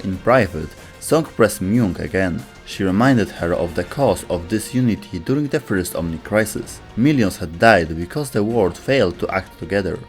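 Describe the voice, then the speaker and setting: A man reading scripted narration as a video host. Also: on the low side.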